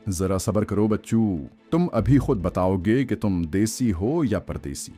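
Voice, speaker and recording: A man's voice: on the low side, animated, warm tone. A man voicing a cold interrogator in an audio story, grave and mocking as he delivers threats. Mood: taunting. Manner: grave, icy, sardonic, unhurried threats. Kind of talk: audiobook villain acting. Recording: faint music bed under voice.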